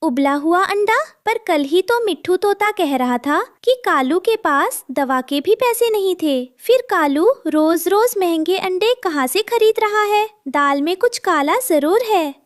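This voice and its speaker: A woman's voice: very high-pitched, expressive, unhurried, hardly any pauses, dry recording, studio quality. A woman voicing a sensible, helpful, determined female sparrow in a cartoon, assured and inquiring. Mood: suspicious. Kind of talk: Hindi moral-story cartoon dialogue.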